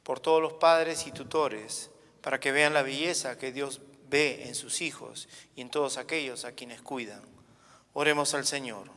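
Bright-voiced man reading prayers in church. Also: mid-range voice, a little slow. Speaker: reader of prayer petitions at Mass. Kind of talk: formal read-aloud prayer. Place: large church, indoors. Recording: reverberant church hall.

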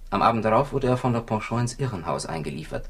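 An actor playing a weary, gossipy police commissioner in a 1960s radio crime drama, husky and confiding. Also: male voice, on the low side, animated, fast. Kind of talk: 1960s German-language radio drama acting.

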